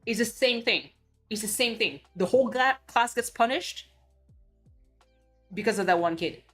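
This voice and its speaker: A woman's voice: fairly high pitch, normal speed, a bit bright, soft background music. A woman from Belgium, a streamer chatting casually and ranting bluntly with cursing and many restarts. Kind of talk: casual chat.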